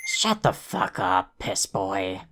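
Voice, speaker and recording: A woman's voice: rumbling low, very animated, slow pace, background noise, full character voice. A woman playing a foul-mouthed, contemptuous male alien troll in an audio drama, sarcastic and ranting. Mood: irritated, scornful.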